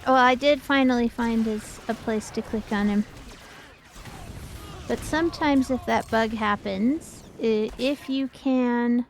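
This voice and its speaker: A woman's voice: fairly high pitch, slow, a little hiss or hum. A woman chatting casually as she plays a video game as co-host of a livestream. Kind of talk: casual chat while playing a video game.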